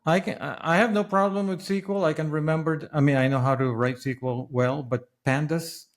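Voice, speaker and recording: A man from the Philippines hosting a podcast in casual interview chat, halting and often repeating the start of his phrases. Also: fairly high pitch, fairly even intonation. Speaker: podcast host.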